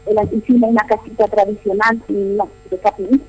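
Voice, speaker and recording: A woman's voice: medium pitch, little pitch movement, a little fast, darkish tone, rough recording. A middle-aged nun answering interview questions in a chatty, explanatory way, often repeating her phrases. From Paraguay. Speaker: nun, missionary at a boarding school.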